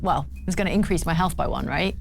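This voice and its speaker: A woman's voice: sits low, expressive, fast, vocal fry, slight background noise. A woman playing a tabletop roleplaying game, chatting casually with the group.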